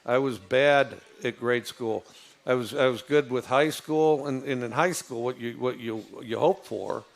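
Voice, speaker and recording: A man with a low voice, telling stories in a casual, rambling way, with many fillers and restarts. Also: a little slow, creaky. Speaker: football coach. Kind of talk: casual storytelling.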